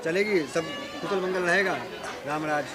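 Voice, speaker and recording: A man's voice: sits high, steady tone, moderate pace, bright, forceful tone. A man working as a street reporter, asking prodding, conversational questions in an informal interview on location. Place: outdoors in a public place. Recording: outdoors with faint crowd behind.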